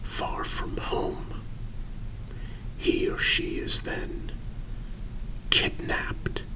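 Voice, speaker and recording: Guy doing a calm discreet vampire for asmr roleplay, all whispered, super slow n deliberate, little pauses everywhere. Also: low-quality recording. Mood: hushed, measured. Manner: slow, deliberate, halting phrases. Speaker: ASMR role-play performer. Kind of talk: whispered ASMR role-play.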